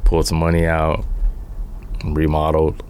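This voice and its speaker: A middle-aged man chatting casually as a podcast guest, in halting, laid-back phrases. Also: extremely low pitch, completely flat delivery, darkish tone, clean tone. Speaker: podcast guest, sneaker collector. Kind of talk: casual chat. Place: podcast studio, in-person conversation.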